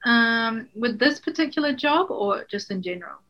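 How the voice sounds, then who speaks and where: A young woman from New Zealand, a guest chatting casually on a podcast, relaxed and full of ums. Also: fairly high pitch, normal speed, highs cut off.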